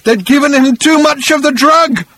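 A man voicing a frail, half-mad journalist in an audiobook, hysterical and haunted, with halting, stammering repetitions. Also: high-pitched, lively intonation, fairly bright tone, husky, no room sound, pitched above the performer's own voice, exaggerated voice. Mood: rising hysteria. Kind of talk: dramatised audiobook character reading.

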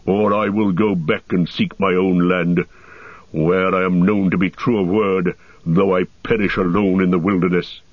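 A man doing a gruff, stubborn dwarf warrior. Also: low voice, little pitch movement, moderate pace, dry recording, narrow, tinny audio. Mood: defiant.

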